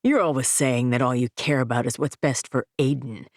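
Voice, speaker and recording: A man's voice: medium pitch, fairly quick, dry recording, clean studio sound, pitched below the performer's own voice, lightly acted. A woman voicing a smug, wealthy man talking down to his ex-wife in a condescending, pushy way. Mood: smug, contemptuous. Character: smug wealthy adult man, scornful ex-husband.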